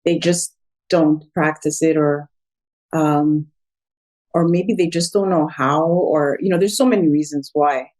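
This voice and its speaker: Female interviewer with a low-pitched voice, chatty and effusive, loosely wandering through informal conversation. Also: fairly even intonation, measured pace, a little mellow. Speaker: podcast host, interviewer.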